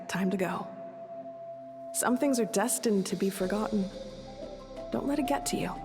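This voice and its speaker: A grave female elder speaks very slowly, gentle and solemn, her words trailing into a long echoing reverb, as a woman acts her out. Game music swells behind her. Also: mid-range voice, natural voice. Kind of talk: game voice acting.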